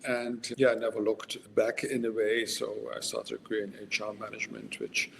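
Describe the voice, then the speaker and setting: A man, a podcast guest who speaks in a measured way and pauses often with "uh". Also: on the low side, little pitch movement, vocal fry, a bit bright. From Netherlands. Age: 60s. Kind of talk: podcast interview. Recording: long reverb, faint background noise.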